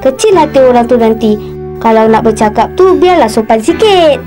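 Woman as cartoon big sister: girlish high voice, caring but bossy, faint music. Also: animated, moderate pace, natural voice. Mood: scolding. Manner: earnest, scolding, motherly. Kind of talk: cartoon voice acting.

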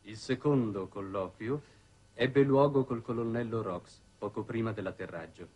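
A man's voice: lowish voice, moderate pace, a little mellow, lightly acted. An actor playing a cold, impassive male secret agent, narrating a formal report in a 1960s television drama.

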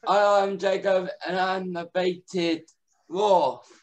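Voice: mid-range voice, fairly even intonation, slow pace. An amateur pantomime performer delivering lines in a home video.

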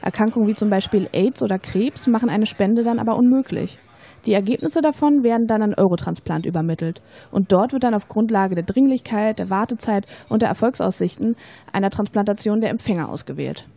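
A young woman playing an informed, persuasive student in a scripted educational audio drama, explaining things in a measured, rehearsed way. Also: medium pitch, creaky, dark tone, husky, dry recording. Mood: matter-of-fact, explanatory. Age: young adult. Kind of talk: scripted educational radio-play dialogue.

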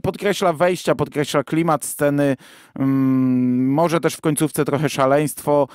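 A man's voice: on the high side, steady tone, a little slow, a little mellow, clean tone, no room sound, clean studio sound. A man co-hosting a podcast in a casual review discussion, hesitant and often correcting himself as he goes. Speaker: podcast co-host. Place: virtual studio, remote recording.

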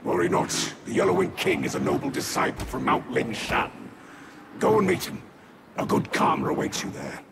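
An actor playing a burly, humble, courteous tiger warrior in a video game, gravelly and formal, with theatrical delivery. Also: male voice, very deep voice, sing-song, moderate pace, exaggerated voice. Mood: reassuring. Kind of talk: video game character acting. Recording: loud ambient noise behind voice.

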